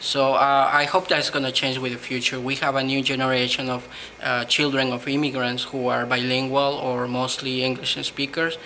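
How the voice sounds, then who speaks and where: A man from Peru with a Peruvian Spanish accent, answering interview questions in earnest, measured English with frequent ums. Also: mid-range voice, flat delivery, bright, forceful tone, a little hiss or hum, low-quality recording. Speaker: blogger, interview guest.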